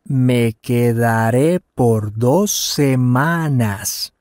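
A man's voice: medium pitch, darkish tone, no echo, clean studio sound. A man playing a polite traveler in a scripted language lesson, enunciating each phrase with care. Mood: polite, friendly. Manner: deliberate, carefully enunciated. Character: polite Canadian traveler practicing his Spanish. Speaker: voice actor in language lesson dialogues. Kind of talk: scripted learner dialogue, each phrase said slowly then at normal speed.

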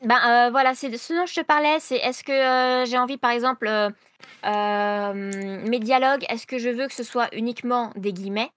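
A woman's voice: a little high, steady tone, moderate pace, fairly bright tone, a little hiss or hum. A young woman writer in an interview, explaining her process over a video call with many hesitant fillers and restarts. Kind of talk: casual explanatory interview answers.

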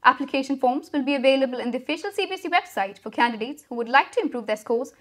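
A young woman reading a school news bulletin as the anchor, with a brisk, even headline delivery. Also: sits high. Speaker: student news reader.

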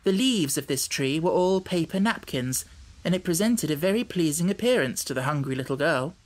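A man reading scripted storybook narration as an audiobook narrator, measured and carefully articulated. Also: a little high, animated.

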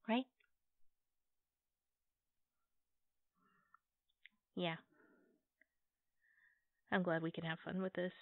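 A woman's voice: lowish voice, no echo, close to an everyday voice. Woman playing an affectionate girlfriend, dry tinny audio. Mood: tender, content. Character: affectionate, playful girlfriend baking cookies at home. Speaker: roleplay ASMR performer. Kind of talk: casual girlfriend roleplay ASMR. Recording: tinny, phone-like band-limited audio.

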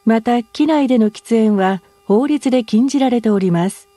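A woman's voice: on the high side, drawn-out words, warm tone, no room sound, soft background music. A woman as a cabin announcer, reading a formal scripted announcement for airline passengers.

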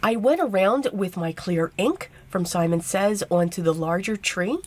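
A woman with a tired, hoarse voice narrating a card-making tutorial step by step, chatty and casual. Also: mid-range voice, animated, a little slow, darkish tone. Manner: chatty step-by-step explaining with fillers. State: losing her voice, hoarse. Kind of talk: voice-over craft tutorial.

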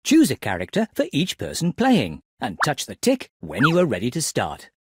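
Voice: medium pitch, wildly swooping pitch, normal speed, husky, dry recording. A narrator of a children's game, giving scripted instructions expressively for young children. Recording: music behind the voice.

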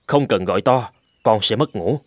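A man voicing a poor, hard-working, gentle father in a folk-tale cartoon. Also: fairly low pitch, animated, moderate pace, no echo, studio quality. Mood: caring. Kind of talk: cartoon dubbing.

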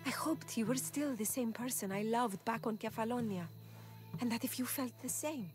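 A woman's voice: fairly high pitch, normal speed, a little mellow, natural voice. A woman playing an affectionate, flirtatious middle-aged single mother who farms, in a video game cutscene. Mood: tender, earnest. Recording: music bed under the dialogue.

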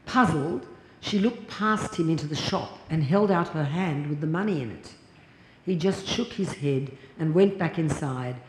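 A woman's voice: low-pitched, a little mellow. A woman, reading a formal lecture from notes at a podium in a large hall. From Australia. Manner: measured, deliberate, reading from notes. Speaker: keynote lecturer. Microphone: lapel mic and podium mic. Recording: echoey hall, audible noise.

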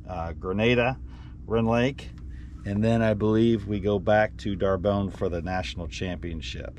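A middle-aged man, a fishing guide, talking casually from his boat on a lake into a headset mic, relaxed and rambling with lots of ums. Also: on the low side, a little hiss or hum. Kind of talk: casual fishing vlog chat.

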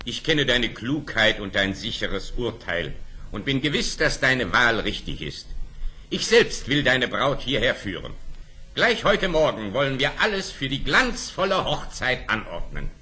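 Fairy-tale radio play ruler: commanding Sultan, very bright forceful voice, lively intonation, formal. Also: male voice, mid-range voice, a little slow. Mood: gracious. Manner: formal, authoritative. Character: stately, commanding Sultan, father of a prince.

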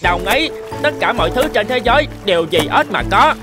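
A very high-pitched, excitable cartoon frog, zealous and declaiming. Music plays clearly behind the voice. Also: male voice, a little fast. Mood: rallying. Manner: chanting, declaiming. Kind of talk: cartoon voice acting.

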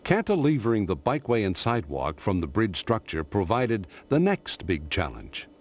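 A man reading scripted documentary narration in a measured, explanatory way, recorded as a studio voice-over. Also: fairly low pitch, big pitch swings.

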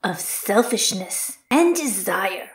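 A woman voicing an impish female yokai spirit with an eerie, breathy, whispered delivery. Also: mid-range voice, very animated, slow pace. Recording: roomy echo.

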